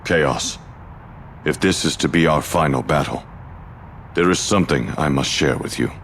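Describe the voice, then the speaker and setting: Very deep, gravelly solemn mastermind. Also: male voice, a bit bright, a little hiss or hum. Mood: solemn, confiding. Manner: solemn, measured. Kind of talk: dramatic video game voice acting.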